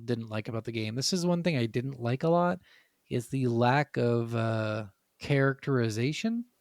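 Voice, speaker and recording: A man with a creaky, fry-laden voice chats as a podcast co-host. He is informal and opinionated, and the recording is dry and free of room sound. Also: mid-range voice, moderate pace, a little mellow, no room sound. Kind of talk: casual chat about video games.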